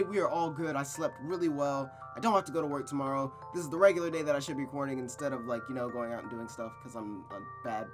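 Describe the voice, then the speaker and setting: Chatty young man hosting a story stream. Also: medium pitch. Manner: energetic, chatty, swears casually. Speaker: host reading a visual novel. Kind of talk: casual gaming chat and story narration.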